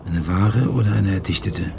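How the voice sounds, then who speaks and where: An actor playing a wary, dutiful ship's lieutenant in a radio play, measured, formal and foreboding. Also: male voice, low-pitched, vocal fry, background noise. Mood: grim warning.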